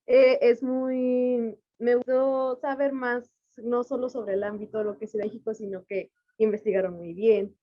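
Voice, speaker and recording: Mexican woman, attendee, higher voice, hesitant and chatty, dull dry call audio. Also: steady tone, unhurried, dry recording. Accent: Mexican Spanish. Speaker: online event attendee. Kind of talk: casual comment in a video call. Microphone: video call.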